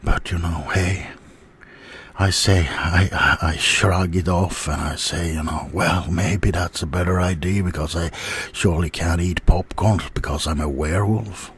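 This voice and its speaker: A man voicing an offended, self-pitying werewolf in an ASMR roleplay, talking haltingly and often dropping to a whisper. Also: low voice, fairly even intonation, fairly bright tone, breathy edge, full character voice. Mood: aggrieved. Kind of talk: ASMR roleplay monologue in a mock interview.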